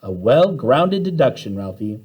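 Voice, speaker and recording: A man's voice: mid-range voice, big pitch swings, a little slow, a little mellow, breathy edge. A man voicing an unflappable, upbeat woman schoolteacher in a children's storybook, theatrical and assured. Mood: assured, explaining. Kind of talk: children's storybook read-aloud.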